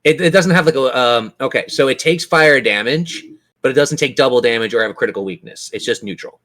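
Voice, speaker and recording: A man's voice: medium pitch, brisk, a bit bright. A man acting as game master, narrating vividly and ruling casually on a tabletop game. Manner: descriptive, vivid monster narration.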